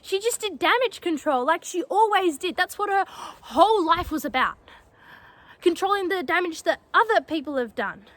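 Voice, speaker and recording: A young woman playing a defiant, grieving female space fleet cadet in an emotional, bitter dramatic monologue, pausing and stressing her words. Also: extremely high pitch, lively intonation, a bit bright, no room sound. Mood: sorrowful.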